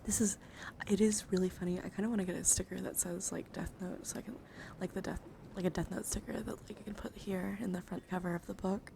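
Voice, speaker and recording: A woman hosts an ASMR livestream in a soft voice that often drops to a whisper. Her pitch sits in the middle, breathy and gentle. She rambles intimately to viewers close to the mic, with clear ambient noise behind her. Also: fairly even intonation, fast talker. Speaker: livestreamer and VTuber host. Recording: clearly noisy ambient background.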